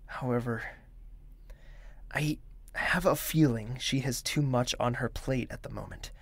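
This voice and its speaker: An actor playing a gentle, reserved young prince in an audio drama, courteous and measured, with formal wording and a self-doubting streak. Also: male voice, mid-range voice, husky, no room sound. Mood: apologetic, considerate. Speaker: character actor and first-person narrator. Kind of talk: scripted audio drama with inner monologue.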